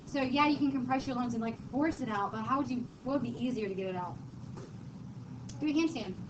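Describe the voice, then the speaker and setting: A woman's voice: a little high, fairly quick. Female chemistry teacher, casual and joking, quizzes the class; dull, noisy room recording. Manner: chatty, joking, quizzes students. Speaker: high school chemistry teacher. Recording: muffled classroom recording, background noise.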